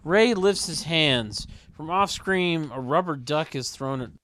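Deadpan man reading stage directions. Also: a little high, a little slow. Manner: deadpan, mocking asides. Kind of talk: sarcastic table read of a sitcom script.

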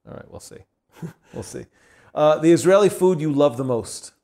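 A man's voice: fairly high pitch, expressive, warm tone. Easygoing male interview host. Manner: easygoing, quick affirmations, laughs along. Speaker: podcast host. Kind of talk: casual conversational interview.

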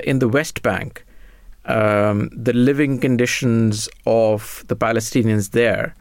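A man hosts a live radio talk show, his voice gravelly with a fry at the ends of phrases. He hesitates a lot and restarts his sentences often. The studio sound is close and dry. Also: mid-range voice, dry recording. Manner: hesitant, many fillers and restarts. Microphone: studio microphone.

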